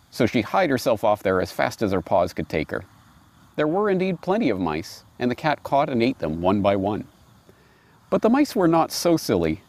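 A middle-aged man presenting and reading aloud in a musing, wry, expressive way, recorded outdoors on a lapel mic. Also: mid-range voice. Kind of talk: reflective commentary and storybook reading. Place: outdoors in a grassy park.

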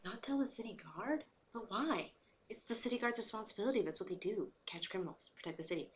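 A woman's voice: medium pitch, expressive, fast talker, lightly acted. A woman voicing an earnest, dutiful pony who reasons aloud. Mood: earnest, puzzled. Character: earnest, dutiful law-abiding cartoon pony citizen. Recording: noisy home recording.

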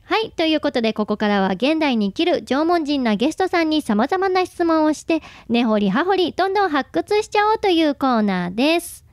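Female radio co-host, notably high voice, close dry sound. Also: sing-song, brisk, no room sound. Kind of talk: radio interview presenting.

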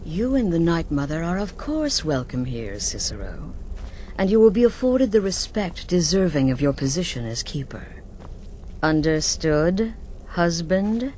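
Commanding assassin leader, lively intonation. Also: female voice, on the low side, slight background noise. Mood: stern courtesy. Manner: formal, measured authority. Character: commanding, coolly poised female assassin leader.

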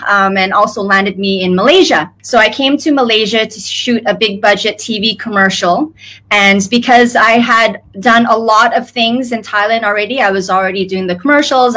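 An American woman in her thirties telling her life story as a guest on a video call, chatty and expressive, laughing often. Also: medium pitch. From United States. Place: home room with shelves.

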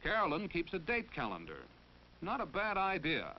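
A man's voice: sits high, wildly swooping pitch, normal speed. A man narrating a 1940s educational film in a didactic way, posing questions and giving advice. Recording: muffled, noisy 1940s film soundtrack.

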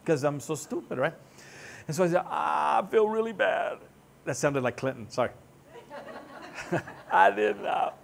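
A man in his sixties preaching a sermon, animated and joking, on a church stage. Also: on the high side, measured pace, gravelly, pauses often, a little hiss or hum. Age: 60s. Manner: animated, joking, gestures broadly while seated. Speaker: pastor. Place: church stage with band gear.

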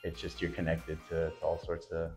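A Canadian-born rugby player chatting casually on a panel, with relaxed, rambling speech and many fillers. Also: male voice, deep voice, moderate pace, soft background music. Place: indoor room with a branded backdrop.

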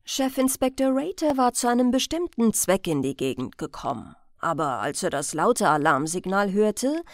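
A woman reading a crime audiobook as narrator in an expressive, deliberate storytelling manner. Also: mid-range voice, dry recording, studio quality.

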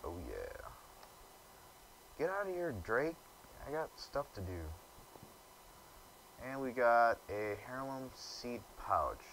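A young man with a low, deep voice giving casual let's play commentary, grumbling and stumbling over quest text he reads aloud. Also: very animated, slow, pauses often, a bit bright, a little hiss or hum, low-quality recording. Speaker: gamer.

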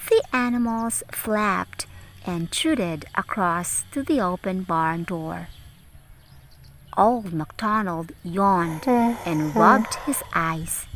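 A woman teacher reading a storybook aloud for young children in a deliberate, sing-song way, addressing them directly. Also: lowish voice, a bit bright, a little hiss or hum. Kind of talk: slow, animated read-aloud for young children. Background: music.

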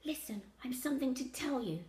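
A woman's voice: very high voice, very animated, moderate pace, roomy sound, pitched above the performer's own voice. A woman voicing a worried, earnest schoolgirl in an audiobook, anxious and eager with her questions. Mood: nervous, hushed.

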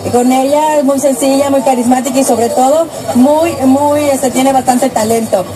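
Female radio host, high-pitched, chatty and teasing; music bed underneath. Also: fairly even intonation, normal speed, poor audio. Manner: playful, teasing, laughs often. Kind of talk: casual radio interview.

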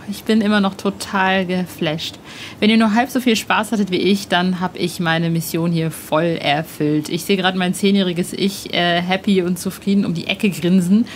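A woman's voice: fairly low pitch, steady tone, moderate pace. A woman hosting a podcast in casual, slangy and enthusiastic conversation. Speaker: podcast host, interviewer. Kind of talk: casual interview chat. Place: outdoors on a bench in a cemetery. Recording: clear outdoor background noise.

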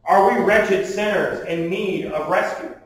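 A man's voice: sits high, a little slow. A man in his forties preaching a sermon from a pulpit, emphatic, often putting questions to the listeners. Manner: emphatic, with rhetorical questions and calls for amen. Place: small church sanctuary, at a wooden pulpit indoors. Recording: distant, very echoey room sound.

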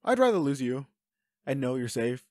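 A man's voice: medium pitch, sing-song, normal speed, darkish tone, dry recording, close to an everyday voice. Man acting an anguished werewolf boyfriend, close and dry. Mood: anguished, firm. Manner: emotional, urgent, pleading. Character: anguished, protective, self-loathing male werewolf boyfriend. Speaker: audio roleplay voice actor. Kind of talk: intimate dramatic roleplay acting.

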